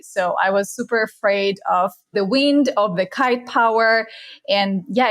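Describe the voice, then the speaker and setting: Russian-accented young woman, podcast guest, earnest and reflective, a little non-native phrasing. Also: mid-range voice. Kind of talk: casual interview chat.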